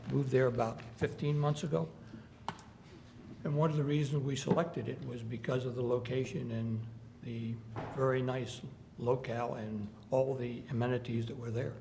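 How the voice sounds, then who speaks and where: A man, a local resident, giving an earnest, halting public comment at a zoning meeting. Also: medium pitch, creaky, darkish tone, slight background noise. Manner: earnest, halting, with pauses and fillers. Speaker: resident speaking at a public hearing.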